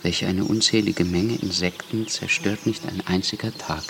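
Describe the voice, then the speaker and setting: Sober, low, creaky-voiced gentleman companion. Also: steady tone, normal speed, heavy background noise. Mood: reflective. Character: sober, considerate male companion of an old philosopher. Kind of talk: radio drama acting.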